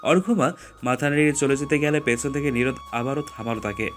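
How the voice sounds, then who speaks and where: A man's voice: mid-range voice, fairly quick, a little hiss or hum. A man reading scripted story narration as the narrator.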